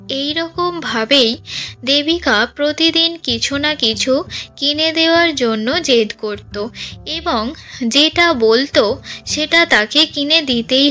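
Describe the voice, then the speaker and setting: A woman narrating a scripted cartoon story for children in a gentle storytelling manner. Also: high voice.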